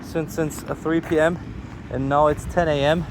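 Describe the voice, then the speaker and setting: Higher-voiced German-accented man, rambling casually outdoors. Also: level tone, normal speed. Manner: frank, swearing, self-deprecating. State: sleep-deprived. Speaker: vlogger. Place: outdoors, walking along a street. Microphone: phone recording while walking. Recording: outdoor street and traffic noise. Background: ambient outdoor noise with passing vehicles.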